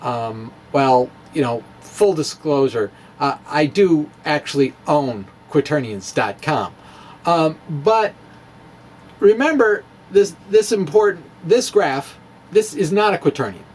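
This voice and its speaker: A man's voice: a little high, animated, slow pace, darkish tone, husky. A man giving an informal physics talk, chatty and self-amused, with frequent fillers and little chuckles at his own jokes. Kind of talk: informal physics lecture at a chalkboard.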